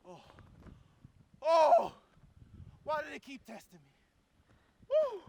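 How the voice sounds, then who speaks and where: A woman's voice: high-pitched, wildly swooping pitch, slow pace, vocal fry, very noisy, full character voice. A woman putting on a cocky trash-talking champ. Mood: taunting. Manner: boastful, swaggering trash talk.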